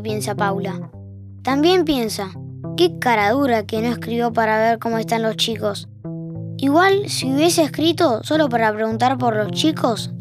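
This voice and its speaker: A woman's voice: fairly high pitch, close to an everyday voice. A woman acting as the wry narrator of a family audio drama. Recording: music under the voice.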